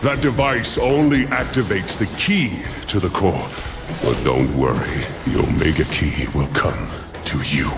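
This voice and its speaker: An actor playing a huge, menacing robot in a video game, ponderous and ominous. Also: male voice, deep voice, unhurried. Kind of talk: video game cutscene acting. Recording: distant echoing voice, loud music.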